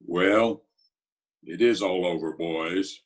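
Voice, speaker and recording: A man acting as a blunt underworld boss from an old radio drama. The voice is low and commanding, with formal gangster phrasing. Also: slow pace. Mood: matter-of-fact. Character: blunt, commanding New York underworld tough guy.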